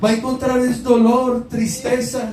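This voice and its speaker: Colombian man, pastor, impassioned sermon, very high pitch, roomy echo. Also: completely flat delivery, a little slow, darkish tone, distant mic. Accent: Colombian Spanish. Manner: impassioned, exclaiming, direct address to the congregation. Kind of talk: preaching a sermon. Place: church hall.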